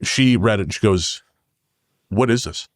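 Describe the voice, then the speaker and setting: A man chatting as a podcast guest about his writing, rephrasing mid-sentence in an earnest, halting way. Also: fairly low pitch, no echo, clean studio sound. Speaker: podcast guest, horror fiction writer. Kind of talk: casual podcast conversation, storytelling.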